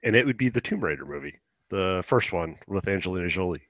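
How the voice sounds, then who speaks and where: A man's voice: sits low, expressive, quick pace, a bit bright, no echo, clean studio sound. A man co-hosting a podcast, giving casual commentary in an informal, blunt and opinionated way. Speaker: podcast co-host.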